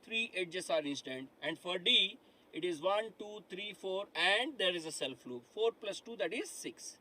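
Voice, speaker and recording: A man's voice: high voice, lively intonation, moderate pace, pushed and bright, low-quality recording. A middle-aged man teaching a live online lecture, who repeats himself, asks the class questions and keeps calling them "my dear friends". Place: studio in front of a dark digital board.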